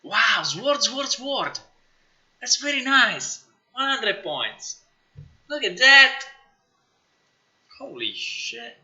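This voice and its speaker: Sharp-voiced man chatting on a livestream. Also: extremely high pitch, extremely slow, pauses often, highs cut off. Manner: informal, excitable, repeats words and phrases. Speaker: live streamer, game developer. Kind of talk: casual livestream chat, thinking aloud while working.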